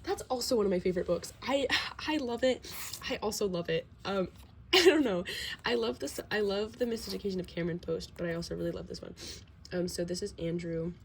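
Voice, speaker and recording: A woman's voice: mid-range voice, fairly quick, gravelly, a little hiss or hum. A teenage girl with a slight cold, sniffling a little, casually rambling through a sketchbook tour with lots of fillers and self-deprecating asides at home. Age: teens. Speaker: vlogger.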